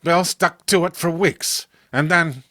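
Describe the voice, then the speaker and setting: Firm veteran police chief, acted by a man, bright forceful voice, storytelling delivery, dry recording. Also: a little high, a little slow, no room sound. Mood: matter-of-fact. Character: authoritative veteran police commissioner recounting a strange case. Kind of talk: dramatic storytelling, horror comic voice acting.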